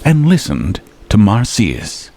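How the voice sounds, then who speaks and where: A man narrating a scripted comic radio tale in a wry, theatrical manner, relishing his words with deliberate pauses. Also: on the low side, wildly swooping pitch, vocal fry, very noisy. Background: music, plucked guitar.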